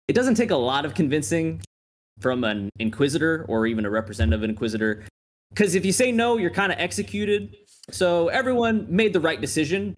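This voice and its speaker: A man's voice: mid-range voice, a little hiss or hum. A man running a tabletop game as game master, recapping the last session in a laid-back, chatty way.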